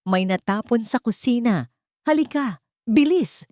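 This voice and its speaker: A woman's voice: on the high side, expressive, measured pace, a little mellow, no echo, studio quality, voice pushed up. A woman voicing an anxious, protective female friend giving bossy, urgent warnings in an audio drama.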